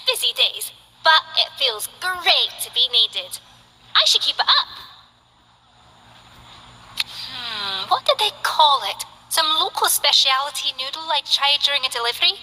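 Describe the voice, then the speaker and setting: A woman's voice: high voice, sharp tone, heavily acted, rough recording. A woman voicing a cheerful, chatty young female delivery courier in a video game. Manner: bubbly, chatty, eager.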